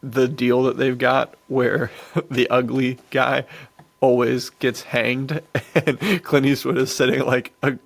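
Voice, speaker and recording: A man's voice: medium pitch, little pitch movement, takes it slowly, vocal fry. A man chatting casually as a podcast co-host in a laid-back, slangy way. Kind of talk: casual chat about western films.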